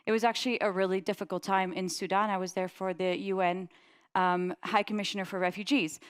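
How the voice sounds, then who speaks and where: A young woman from the Netherlands giving a presentation, telling personal stories in an animated way and laughing often. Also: medium pitch, brisk, clean tone. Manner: animated, laughs often, lively voices in anecdotes.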